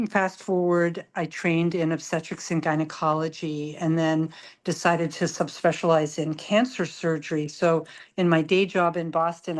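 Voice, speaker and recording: A middle-aged woman surgeon speaking from home on an online panel, talking in a rambling, self-effacing way. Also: deep voice. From grew up in Tokyo and Singapore. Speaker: surgeon guest.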